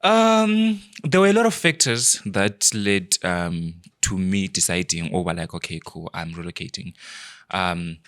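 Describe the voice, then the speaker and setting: Low-voiced soft-spoken young man. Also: lively intonation, measured pace. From Zimbabwe. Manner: soft-spoken, quiet and shy. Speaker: podcast guest. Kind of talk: casual interview conversation.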